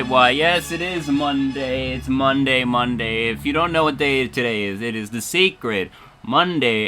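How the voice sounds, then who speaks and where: A man's voice: medium pitch, pushed and bright. A man hosting a casual livestream radio show as DJ, playful and rambling, with emphatic announcing.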